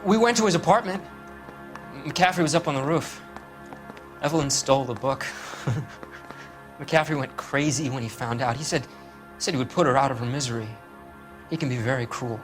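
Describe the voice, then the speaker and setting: Guy doing jittery american suspect, medium pitch, defensive, hesitant, video game crime drama. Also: moderate pace, pauses often, soft background music, natural voice. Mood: uneasy. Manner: hesitant, nervous. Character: anxious, defensive male bowling alley worker suspect.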